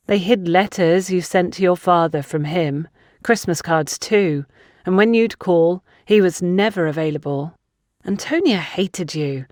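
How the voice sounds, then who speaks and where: A woman's voice: on the low side, dry recording, studio quality, natural voice. A woman voicing a defensive, suspicious young woman under questioning in an audio drama, with an accusatory, indignant manner. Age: young adult.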